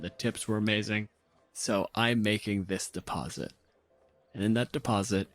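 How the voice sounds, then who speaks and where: A man co-hosting a podcast as game master, speaking in an informal, casual storytelling way. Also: lowish voice. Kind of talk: casual narration and podcast promotion.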